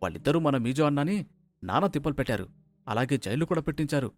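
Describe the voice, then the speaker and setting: A man's voice: mid-range voice, animated, fast talker, natural voice. A man voicing a loyal, eager gangster's henchman in a story. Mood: informing.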